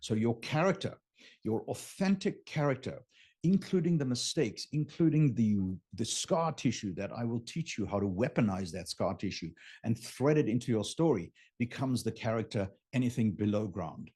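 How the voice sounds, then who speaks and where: A man whose native language is German, with a husky voice, teaching business in an informal, emphatic, unscripted way and often checking in with the listener. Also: medium pitch, lively intonation, normal speed, darkish tone. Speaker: business coach hosting a live session. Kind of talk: unscripted teaching talk with drawn illustrations.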